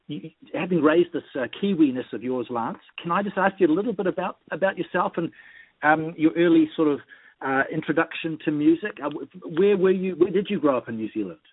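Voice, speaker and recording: Brisk, husky radio interviewer man. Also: fairly high pitch, animated, no echo. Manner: brisk, friendly questioning. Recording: dry phone-like radio line.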